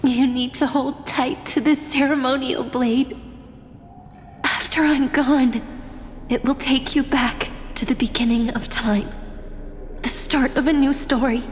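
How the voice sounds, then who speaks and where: A gentle guardian of time. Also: female voice, sits high, steady tone, unhurried, a little hiss or hum. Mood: tender. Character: gentle young woman guardian of time, tender. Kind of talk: game cutscene voice acting. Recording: reverberant with music behind.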